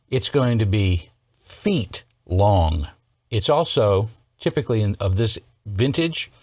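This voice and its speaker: A man's voice: fairly low pitch, dry recording. Man explaining electronics, dry close sound. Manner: explains step by step, checking in with okay and all right. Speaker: host of an electronics repair channel. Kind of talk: informal technical explanation.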